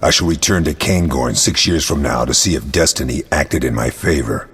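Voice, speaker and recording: A man playing a grave, battle-hardened monster hunter in a video game, measured and matter-of-fact, with a raspy, gravelly voice. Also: rumbling low, fairly bright tone, heavily acted. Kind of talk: video game dialogue acting. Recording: long cavernous reverb.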